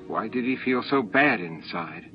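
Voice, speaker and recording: A man's voice: mid-range voice, lively intonation, unhurried. A man reading scripted fiction aloud as a dramatic audiobook narrator. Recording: dull lo-fi old audiobook tape. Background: music and added sound effects.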